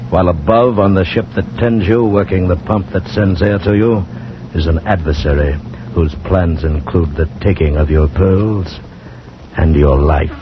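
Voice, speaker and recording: A man's voice: low-pitched, moderate pace, background noise. A man narrating an ominous, dramatic suspense teaser in old-time radio style. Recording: muffled old radio recording. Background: loud dramatic music.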